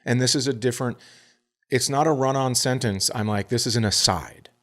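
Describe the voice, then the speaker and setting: A man comedian co-hosting a podcast in casual chat, animated and jumping up in pitch as he tells stories. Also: a little fast. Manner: animated, jumps up in pitch when storytelling.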